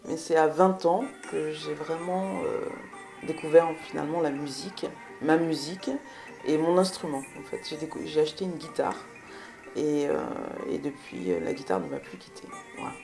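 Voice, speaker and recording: Low-voiced creaky woman giving interview answers. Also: animated, normal speed, over music. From Belgium. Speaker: musician, singer and guitarist. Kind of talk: interview answers about personal career.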